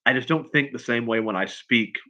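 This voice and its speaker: A man chatting casually on a livestream as a writer answering questions, in a halting, rambling way, often repeating and restarting his phrases. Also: medium pitch, bright, forceful tone. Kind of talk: casual unscripted chat, answering viewer questions.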